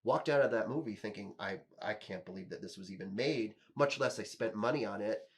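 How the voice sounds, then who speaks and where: A man's voice: lowish voice, brisk. A man hosting a podcast, casually giving his opinions and reasoning through them at length. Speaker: podcast host.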